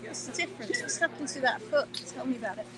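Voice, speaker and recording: A woman's voice: high-pitched, lively intonation, quick pace, crowd noise. A woman hosting a live-streamed hoof-trimming workshop, speaking emphatically and quizzing her students, filmed on a phone indoors. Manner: emphatic, reads viewer comments aloud.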